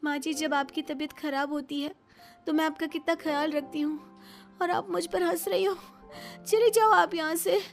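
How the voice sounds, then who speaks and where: A woman's voice: high voice, completely flat delivery, normal speed, few pauses, soft background music, voice pushed up, lightly acted. A woman playing an earnest, easily offended young daughter-in-law in a family story, pleading and indignant. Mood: hurt, indignant.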